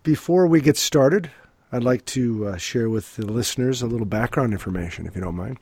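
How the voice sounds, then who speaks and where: A man hosting a music interview podcast, sounding stuffy from a cold and reading his guest's background in a measured, formal way. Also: mid-range voice, a little mellow, husky, no echo. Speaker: podcast host.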